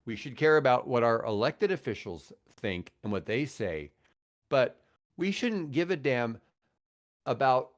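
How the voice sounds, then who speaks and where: A man's voice: medium pitch, animated, unhurried. A man chatting casually and giving his opinions on a podcast, emphatic and often repeating his phrases as he goes. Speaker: podcast guest, comedian.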